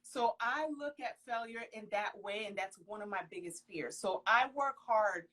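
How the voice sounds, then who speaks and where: A woman's voice: mid-range voice, normal speed, fairly bright tone. A young woman of Haitian background whose first language was Creole, talking earnestly and emphatically as a guest on a casual live interview. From Haitian household, raised in the US. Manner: emphatic, earnest, exclaims often. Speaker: professional model, interview guest. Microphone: phone on a video call.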